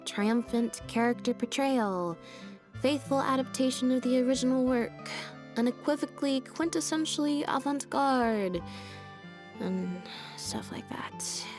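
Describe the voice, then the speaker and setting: A woman voicing a laid-back, deadpan young stage magician's assistant in a video game, speaking in an unhurried, matter-of-fact way. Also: sits high. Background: game background music.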